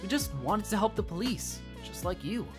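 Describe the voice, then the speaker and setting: A woman's voice: medium pitch, animated, moderate pace, lightly acted. A woman playing an eager, level-headed young rookie superhero in a comic dub, over music. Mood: casual. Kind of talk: acted comic dub dialogue. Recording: prominent music behind the voice.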